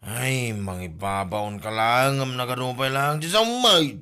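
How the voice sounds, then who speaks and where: A man's voice: mid-range voice, expressive, measured pace, pushed and bright, clean tone. An actor playing a flirty, evasive married man in an Ilocano comedy drama, with an exclamatory, stammering manner and an Ilocano accent. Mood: grumbling, evasive.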